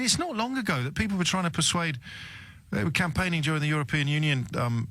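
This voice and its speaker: A man's voice: medium pitch, a bit bright, dry recording. A man hosting a radio phone-in, talking emphatically and wryly while questioning callers. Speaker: radio host.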